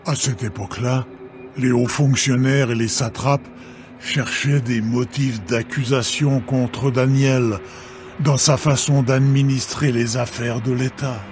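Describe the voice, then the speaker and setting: Slow, measured male scripture narrator. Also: medium pitch, steady tone. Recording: background music.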